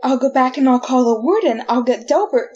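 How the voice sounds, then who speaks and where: A woman's voice: high voice, expressive, brisk, a little mellow, highs cut off, slight background noise. A woman playing a desperate condemned prisoner whose soul wanders among the dead, in theatrical, intense 1940s radio drama style. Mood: pleading, agitated. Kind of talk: retro 1940s radio drama acting and narration. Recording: music behind the voice. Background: dramatic music.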